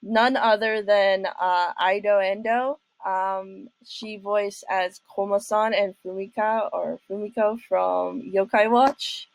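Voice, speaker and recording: A woman's voice: mid-range voice, fairly even intonation, takes it slowly, gravelly, few pauses, no echo, low-quality recording. A young woman hosting a casual reaction video, talking in a hesitant, rambling way.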